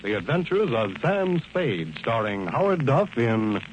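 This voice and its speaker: A man's voice: mid-range voice, very animated, measured pace. A man announcing a program in a formal 1940s radio style. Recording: dull, noisy old-time radio recording.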